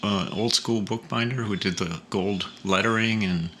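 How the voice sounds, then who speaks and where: A man's voice: lowish voice, normal speed, bright, forceful tone, slight background noise. An older man chatting casually as a musical guest in an interview. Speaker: musical guest, blues guitarist.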